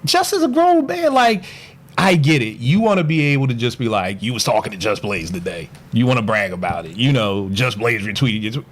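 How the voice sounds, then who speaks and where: Animated, opinionated man on a podcast. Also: medium pitch, fairly quick. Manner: passionate, animated, opinionated rants. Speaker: podcast guest, beatmaker. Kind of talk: casual podcast chat.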